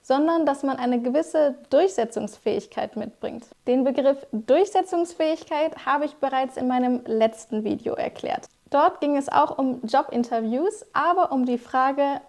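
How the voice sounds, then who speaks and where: A young woman who is a German teacher, explaining vocabulary to viewers in a clear, scripted lesson style. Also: high-pitched, measured pace, darkish tone.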